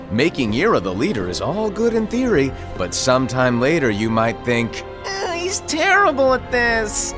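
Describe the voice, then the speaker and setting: Teasing, cryptic master, wildly expressive. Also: male voice, medium pitch. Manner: playful, teasing, theatrical delivery. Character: flippant, cryptic male master mentoring his apprentices. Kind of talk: animated game cutscene acting.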